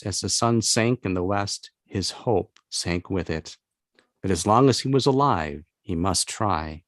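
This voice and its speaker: A man reading his own literary fantasy novel aloud as narration, with measured, deliberate phrasing and pauses. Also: lowish voice, no room sound, clean studio sound. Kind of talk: literary audiobook-style narration of a fantasy tale.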